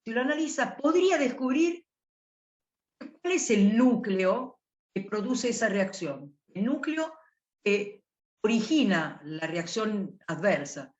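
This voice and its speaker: A woman with an Italian accent delivers an academic lecture in a thoughtful, explanatory way, often pausing to rephrase. Her voice sits in a middle register. The sound carries a noticeable room echo. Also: medium pitch, very animated, a little mellow, lo-fi sound. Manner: thoughtful, restarts phrases while explaining. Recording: echoey room.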